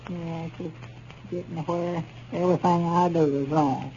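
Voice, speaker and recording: An actor playing a naive, plaintive old country storekeeper with a rural Arkansas Southern accent in a 1930s radio comedy. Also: male voice, a little high, steady tone, moderate pace, a little mellow, close to an everyday voice. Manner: folksy, plaintive, simple-minded. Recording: dull, noisy 1930s radio recording.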